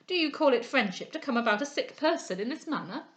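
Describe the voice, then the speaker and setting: A woman voicing a male doctor who speaks in a measured, reproving way. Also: sits high, lively intonation, fairly bright tone. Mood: stern. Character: male doctor, stern and disapproving.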